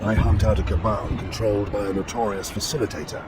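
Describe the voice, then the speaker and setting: A lowish male voice cuts through loud noise. An actor plays a serious, commanding inquisitor in a science-fiction game, speaking formally and with measured authority. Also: fairly even intonation, lightly acted. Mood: serious, probing. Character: composed, commanding adult inquisitor, shrewd and serious. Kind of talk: dramatic science-fiction game cutscene acting.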